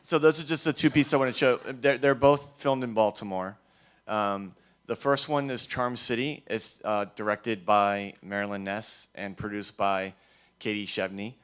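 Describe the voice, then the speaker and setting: Man, guest speaker, hesitant, restarts, lowish pitch, smooth clear voice. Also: fairly even intonation, moderate pace, a bit bright. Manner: hesitant, with many restarts and fillers. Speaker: guest filmmaker at a screening talk. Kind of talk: live talk to an audience.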